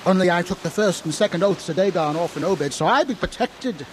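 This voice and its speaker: A crazed old drunk from a town in a horror radio play. His voice is high and cackling, his talk folksy, rambling and agitated. Faint ambience sits behind him. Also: animated, a little fast, slight background noise, low-quality recording. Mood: conspiratorial, grim. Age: older. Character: crazed, frightened old drunken New England townsman. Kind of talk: audio horror drama acting.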